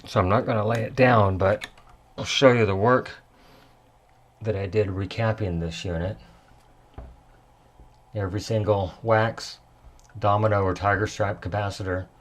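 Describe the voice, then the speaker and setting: A man with a low voice presents a repair job in a casual, unscripted way. He speaks in halting bits, often muttering to himself as he works with his hands. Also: steady tone, slight background noise.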